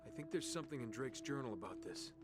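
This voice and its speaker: An actor playing an adventurous, quick-witted male treasure hunter in a cinematic video game, heard quietly under music. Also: mid-range voice, lively intonation, close to an everyday voice. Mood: curious.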